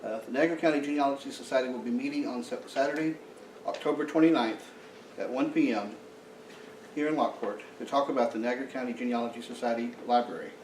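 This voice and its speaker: A man's voice: medium pitch, a little mellow, slight background noise. A man who is president of a local society, making meeting announcements and introducing a speaker, with many fillers and restarts. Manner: halting, frequent uh fillers and restarts. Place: meeting room. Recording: echoey room, mic from far off.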